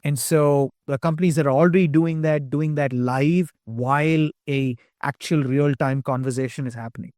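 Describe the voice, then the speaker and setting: A middle-aged man with an Indian accent talks through technical ideas in a relaxed interview. His voice sits a little high, and he rattles along with "you know" and "right" tucked between points. The sound is dry and close. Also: no echo. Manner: explanatory, quick, lots of "you know" and "right". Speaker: tech company CEO guest. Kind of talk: casual interview talk.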